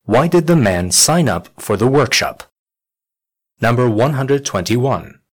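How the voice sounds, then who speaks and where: Husky man reading test instructions. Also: medium pitch, sing-song. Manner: measured, formal reading. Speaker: test announcer. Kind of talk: scripted exam instructions.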